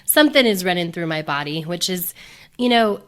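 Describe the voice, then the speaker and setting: Podcast host lady, kinda low voice from a cold, rambly and upbeat, lots of um and you know. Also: expressive, brisk. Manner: chatty, upbeat, many fillers and restarts. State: deeper voice from a cold. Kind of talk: casual solo podcast talk.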